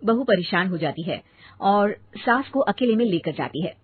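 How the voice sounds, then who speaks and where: A woman's voice: mid-range voice, expressive, moderate pace, slightly rough, no echo, narrow, tinny audio. A woman reading scripted narration for a story.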